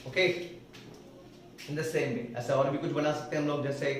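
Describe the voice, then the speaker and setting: Male teacher with a Hindi accent, slightly high voice, recorded from far off in an echoey room. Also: little pitch movement, slight background noise. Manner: explains slowly, repeats phrases word by word. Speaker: spoken English teacher. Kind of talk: classroom grammar lesson.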